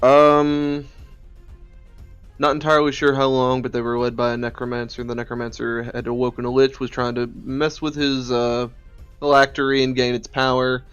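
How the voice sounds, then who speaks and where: A man's voice: mid-range voice, level tone, normal speed, a bit bright, clean tone, lo-fi sound, faint music behind, natural voice. A man playing a dutiful, matter-of-fact crewman who explains things deferentially in a tabletop game.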